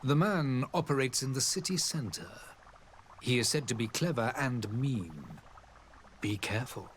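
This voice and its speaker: Proud, animated foreign diplomat. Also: male voice, mid-range voice, a little hiss or hum. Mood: courteous. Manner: formal, measured, eloquent. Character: dignified male diplomat of a foreign alliance, proud and courteous. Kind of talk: formal role-playing game dialogue.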